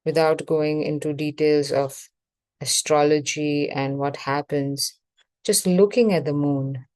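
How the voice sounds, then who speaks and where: A woman leading a guided meditation as facilitator, speaking in measured short phrases with pauses between them. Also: low-pitched, a little mellow, dry recording.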